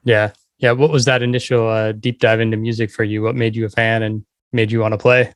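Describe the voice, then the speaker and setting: A man's voice: lowish voice, little pitch movement, brisk, no echo, studio quality. A man hosting a music podcast, reading his intro and chatting with a guest in an upbeat, conversational way. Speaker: podcast host. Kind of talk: casual podcast intro and interview chat.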